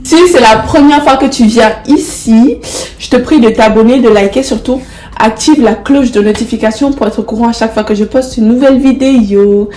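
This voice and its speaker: A woman's voice: a little high, normal speed, warm tone. A young woman with the flu and a stuffy nose gives a casual motivational talk to camera. She coaxes her viewers affectionately and repeats phrases for emphasis. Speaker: motivational vlogger. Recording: roomy echo.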